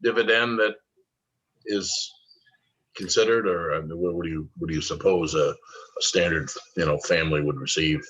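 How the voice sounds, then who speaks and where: A man's voice: deep voice, fairly even intonation, normal speed, a bit bright, breathy edge. A man on the town board asking questions in a hesitant way, stopping and restarting as he goes. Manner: hesitant, full of fillers and restarts. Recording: dull, distant remote-meeting audio.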